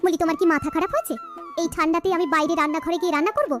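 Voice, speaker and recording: A very high-voiced, nagging village housewife from a cartoon fable, acted by a woman. She scolds. Faint music plays underneath. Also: very fast. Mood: exasperated. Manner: sharp-tongued, nagging. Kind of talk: cartoon voice acting.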